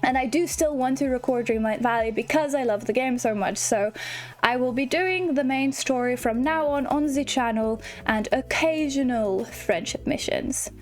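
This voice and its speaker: A woman with a fairly high voice talks casually as the host of a gaming video. She is chatty and informal, and faint music plays behind her. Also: moderate pace. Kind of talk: casual video game commentary.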